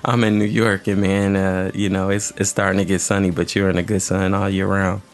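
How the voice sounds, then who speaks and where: A man's voice: low-pitched, level tone, normal speed. A male host introducing and interviewing a guest in a friendly, conversational way. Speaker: show host. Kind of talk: interview hosting.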